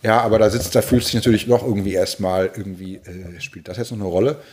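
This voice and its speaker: A man in his forties from Germany, a pastor, thoughtfully telling personal stories, halting with many restarts and repeated words. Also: fairly low pitch, steady tone, a little mellow. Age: 40s. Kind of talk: reflective personal storytelling.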